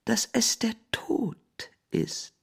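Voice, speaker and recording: A woman narrating a fairy tale in an experimental radio play, theatrical and deliberate, sometimes dropping to a hushed near-whisper. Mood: hushed, grave. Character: storyteller of a dark, dreamlike fairy tale. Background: music.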